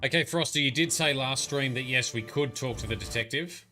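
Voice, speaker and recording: Brief: male streamer, bright tone, chatty and self-correcting, playing along with chat. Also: medium pitch, fairly quick, faint music behind. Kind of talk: live gameplay commentary.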